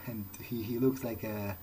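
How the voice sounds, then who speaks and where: A man's voice: fairly low pitch, fairly even intonation, measured pace, warm tone, slight background noise. A man improvising in character as he plays a video game, speaking in a hesitant, musing, understated way. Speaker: let's player.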